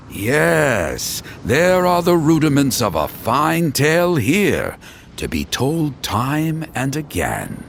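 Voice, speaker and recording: A genial, humble storyteller from a video game, with a creaky edge to the voice and very animated, sweeping intonation. The speech is eloquent and courteous, with faint noise behind it. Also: male voice, on the high side, full character voice.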